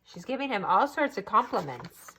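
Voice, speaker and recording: A woman's voice: medium pitch, very animated, normal speed, sharp tone, breathy edge, low-quality recording. A woman reading a picture book aloud to children expressively, adding asides to her listeners.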